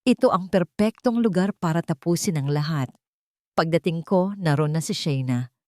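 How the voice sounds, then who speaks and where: A Filipino woman reads a dramatic audiobook story in the first person. Her voice sits on the low side, and the sound is dry, with little room around it. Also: normal speed, darkish tone, dry recording.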